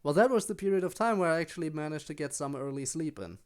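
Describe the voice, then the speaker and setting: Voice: medium pitch, expressive, fast. A game streamer with a mid-range voice talks casually to viewers during play. The delivery is chatty and rambling, drifting into personal asides. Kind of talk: casual live stream chat while playing a game.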